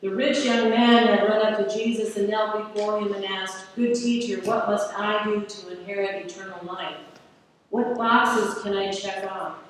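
A middle-aged woman preaches slowly and deliberately from a pulpit, leaning on rhetorical questions. She is heard from far back in a large church, her voice washed in long echoing reverb over a faint room hiss. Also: mid-range voice. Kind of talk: sermon read from a pulpit. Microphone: far camera at the back of the church. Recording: echoey church hall from far off.

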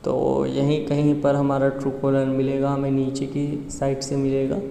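Flat-toned man in an echoey room. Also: mid-range voice, a little slow, gravelly, slight background noise. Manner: explanatory, repeats each step before the next. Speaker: tech tutorial host. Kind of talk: casual step-by-step screen tutorial.

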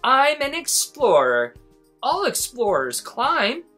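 A man's voice: extremely high pitch, wildly swooping pitch, slow pace, bright, forceful tone, faint music behind, voice pushed up, heavily acted. A man voicing a bold, eager young girl mouse explorer in a children's storybook read-aloud. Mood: proud. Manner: eager, exclamatory.

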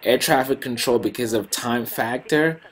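A man's voice: medium pitch, moderate pace. A young man speaks as a host with a mid-range voice. He explains things in a casual, conversational way, talking straight to the camera in a small room at home. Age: young adult. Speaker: host, pilot.

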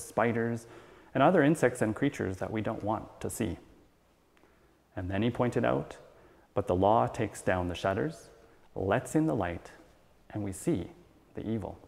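A middle-aged man reads a sermon aloud from the pulpit. His delivery is measured and earnest, clearly following a script. The sound carries the long reverb of a church hall. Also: medium pitch. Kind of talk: read sermon. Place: church sanctuary at a wooden pulpit, indoors.

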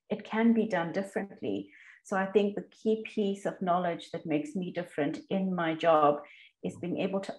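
A woman from South Africa giving reflective interview answers as a lecturer, thoughtful and halting as she rephrases her points. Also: fairly low pitch, darkish tone, rough recording. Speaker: university lecturer, podcast guest.

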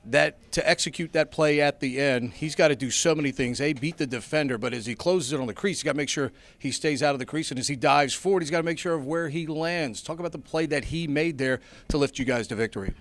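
A man's voice: on the high side, quick pace, hardly any pauses. A middle-aged man interviewing a coach after a game, asking long, polished setup questions into a handheld mic. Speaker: sports interviewer. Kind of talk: postgame interview. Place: indoors before a sponsor backdrop.

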